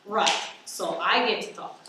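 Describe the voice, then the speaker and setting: A woman's voice: medium pitch, a little slow, close to an everyday voice. A woman playing a gloating, self-important spy villain in a radio play, given to pompous monologues. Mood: bossy, gloating. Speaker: actor. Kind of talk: stage-style radio play acting. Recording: echoey room, distant mic, faint noise.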